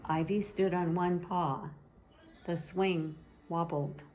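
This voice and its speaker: A woman storyteller reading a children's bedtime story aloud in a measured, expressive way. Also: lowish voice.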